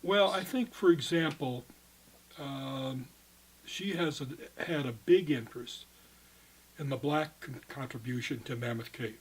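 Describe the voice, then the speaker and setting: Older man, husky voice, retired cave guide, halting and digressive interview answers. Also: medium pitch, low-quality recording. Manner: rambling, halting, self-correcting. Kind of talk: oral history interview.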